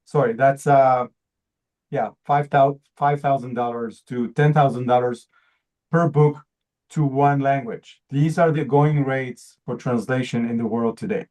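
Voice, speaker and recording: Man, native Hebrew speaker, mellow dark tone, earnest presenter, halting restarts. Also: medium pitch, fairly even intonation. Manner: earnest, repeats words while searching for phrasing. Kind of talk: pitch presentation to an audience.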